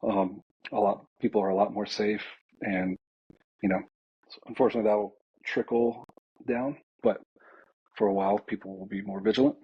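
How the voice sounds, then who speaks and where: Low-voiced American man, slightly dull sound. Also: normal speed, pauses often, husky, no room sound. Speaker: air traffic controller, podcast guest. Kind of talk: casual podcast conversation.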